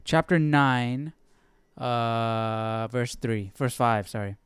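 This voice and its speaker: A man's voice: mid-range voice, extremely slow, smooth, no room sound. A man hosting a casual live Bible study, reading aloud and thinking through it with questions to himself.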